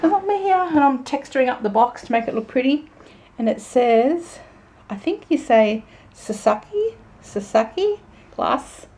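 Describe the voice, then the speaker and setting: A young woman chatting casually to camera in a vlog, croaky from a sore throat and rambling in a self-amused way. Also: high voice, very animated, measured pace, darkish tone, a little hiss or hum. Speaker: vlogger.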